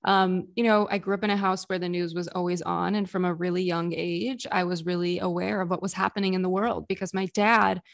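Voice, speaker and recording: A woman's voice: lowish voice, flat delivery, fairly quick, creaky, few pauses. A woman in her thirties, a career coach, telling her story fluently as a podcast guest. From United States. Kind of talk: casual interview storytelling.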